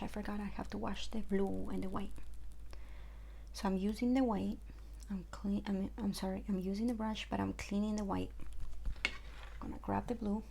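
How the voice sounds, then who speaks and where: A woman's voice: medium pitch, gravelly, dark tone, slight background noise. A young woman from Ecuador with a Spanish accent, chatting casually through a makeup tutorial at home with lots of fillers. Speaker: makeup artist.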